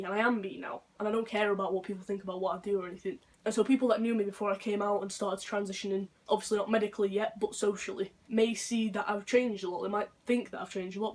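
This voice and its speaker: A young man with a deep voice, talking unscripted in a rambling, earnest vlog monologue. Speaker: vlogger.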